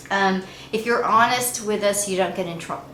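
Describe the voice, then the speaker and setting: A middle-aged woman telling family stories in a chatty, animated way as a regular on a family channel. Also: mid-range voice, moderate pace. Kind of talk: casual storytime chat. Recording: echoey room with faint noise.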